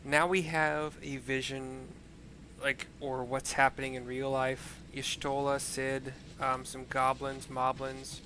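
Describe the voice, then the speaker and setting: A man's voice: medium pitch, little pitch movement, slow pace, pushed and bright, a little hiss or hum. A man giving casual gameplay commentary, describing what is on screen in hesitant, piecemeal phrases as he searches for words. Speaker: video game streamer narrating for viewers. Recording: game music under the commentary. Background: clear game music.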